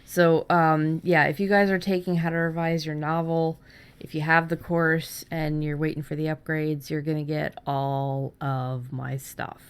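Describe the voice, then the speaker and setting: A woman's voice: low voice, flat delivery, a little slow, smooth. A woman hosting a casual podcast about writing fiction, chatting in an enthusiastic way. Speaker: podcast host.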